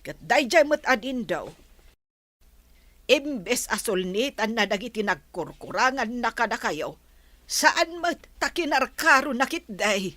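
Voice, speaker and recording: A woman's voice: on the high side, big pitch swings, measured pace, sharp tone, no room sound, full character voice. An actress playing a protective, scolding older motherly confidante in a Filipino radio drama. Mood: disapproving.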